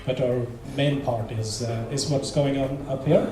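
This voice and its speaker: A man with a Norwegian accent introducing himself on a panel, friendly and halting. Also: mid-range voice, fairly even intonation, crowd noise. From Norway. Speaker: editor, panelist. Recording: echoey hall.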